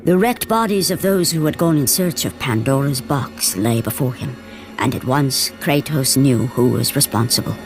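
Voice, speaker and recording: Over dramatic music, a grave storyteller with a low female voice. She narrates Greek myth solemnly and deliberately, in the epic, scripted style of a video game. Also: darkish tone. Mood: grave, ominous. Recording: orchestral game music behind voice.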